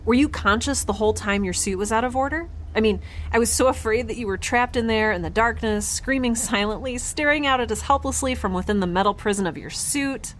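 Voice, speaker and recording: A woman playing an excitable, awkward, optimistic young space traveler in a science fiction audio drama, rambling in informal speech. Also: a little high, brisk, few pauses, a little hiss or hum, natural voice. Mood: excited, awkward.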